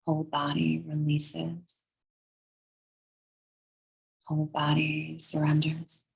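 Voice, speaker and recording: A woman leading a guided meditation in a soothing, measured, breathy way, leaving long pauses between phrases. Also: sits low, monotone, rough recording. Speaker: guided meditation and yoga teacher.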